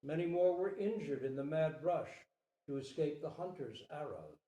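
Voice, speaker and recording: A man giving a dramatic storybook reading in a theatrical, deliberate manner. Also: on the high side, expressive, dark tone. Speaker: storyteller, Zen teacher. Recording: roomy, distant microphone.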